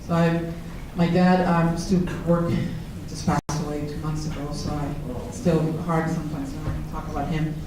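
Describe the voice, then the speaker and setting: A woman's voice: low-pitched, flat delivery, moderate pace, few pauses. A woman, introducing herself on a panel in a candid, self-deprecating way. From Mexico. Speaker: state senator panelist. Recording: echoey hall, far from mic, noisy.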